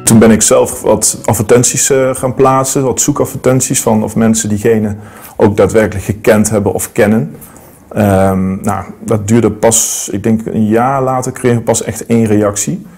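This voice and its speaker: Gravelly-voiced man, hesitant interview talk. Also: fairly low pitch, steady tone, normal speed, darkish tone, faint music behind. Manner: hesitant, many fillers and restarts. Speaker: metal detectorist interviewee. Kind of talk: documentary interview. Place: studio against plain backdrop.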